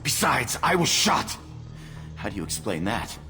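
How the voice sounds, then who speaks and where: An actor playing a wounded, self-serving lab technician in a video game, gravelly, sardonic and argumentative. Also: male voice, mid-range voice, sing-song, measured pace. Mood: defensive. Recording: reverberant hall under loud music.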